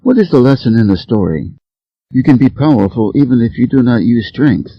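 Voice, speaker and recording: A man's voice: medium pitch, very animated, brisk, breathy edge, no room sound. Man reading fables, dry muffled sound. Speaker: story reader. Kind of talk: scripted fable narration for English learners.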